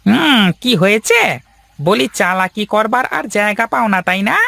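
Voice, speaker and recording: A man voicing a cheeky, reproachful village servant in a cartoon, with a creaky, sharp voice. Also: high-pitched, lively intonation, normal speed, dry recording, pitched above the performer's own voice, full character voice. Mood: accusing. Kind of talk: Bengali cartoon voice acting.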